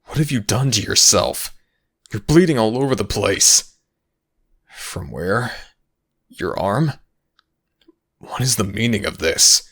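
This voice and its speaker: A man voicing a stern, gruff male Egyptian god of the dead in a dramatic audio roleplay, speaking formally and demandingly. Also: lowish voice, a bit bright, exaggerated voice. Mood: alarmed, stern.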